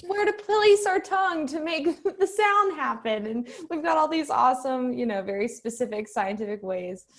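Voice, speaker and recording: A woman with a gravelly, fry-heavy voice chats in a relaxed panel discussion. She sounds bubbly and often laughs between her words. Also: high voice, normal speed.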